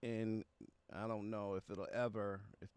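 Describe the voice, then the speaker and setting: A man hosting a music reaction stream, chatting casually in a halting, conversational way and often tagging phrases with a check for agreement. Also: on the low side, flat delivery, darkish tone, clean tone, dry recording. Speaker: music reaction show host. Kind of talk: casual livestream chat with viewers about music.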